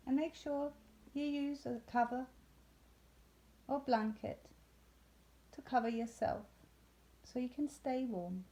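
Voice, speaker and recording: Slow, mellow woman guiding meditation. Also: sits high, steady tone, rough recording. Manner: soothing, unhurried, with long pauses. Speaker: yoga nidra instructor. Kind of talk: guided meditation.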